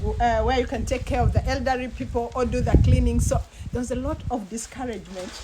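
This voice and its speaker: A woman's voice: on the high side, fairly even intonation, fast talker, very noisy. A woman from Uganda hosting her own channel, chatting casually and upbeat with an old friend. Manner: upbeat, agreeing often. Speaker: channel host.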